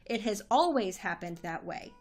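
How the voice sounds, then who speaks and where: A woman's voice: medium pitch, animated, moderate pace, natural voice. A woman playing an exasperated, sarcastic and commanding agency director in an audio drama. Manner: sarcastic, bossy.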